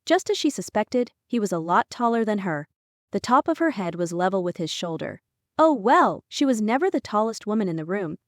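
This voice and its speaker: A woman narrating a fantasy audiobook in a scripted, expressive storytelling style. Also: mid-range voice, fairly quick, no echo, studio quality.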